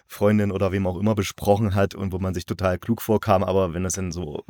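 A man's voice: low voice, steady tone, fast talker, a little mellow, no room sound, studio quality. A man hosting a podcast, chatting casually through a review in an informal, colloquial way.

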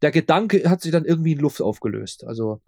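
A man's voice: fairly high pitch, animated, fast talker, creaky, darkish tone, no echo, clean studio sound. A man speaking as a guest in a casual interview, rambling and often repeating his words as he explains his audio drama work.

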